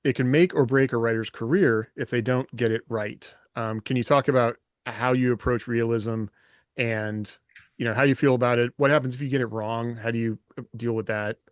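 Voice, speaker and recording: A man's voice: medium pitch, steady tone, normal speed, no echo. A man co-hosting a casual podcast chat, speaking informally as if thinking aloud. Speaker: podcast co-host and fiction writer.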